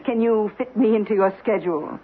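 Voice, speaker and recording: An actress playing a wounded, sarcastic estranged wife in an old-time radio drama, with an arch, needling delivery. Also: female voice, medium pitch, normal speed, slightly rough, close to an everyday voice. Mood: sardonic. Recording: muffled old radio broadcast.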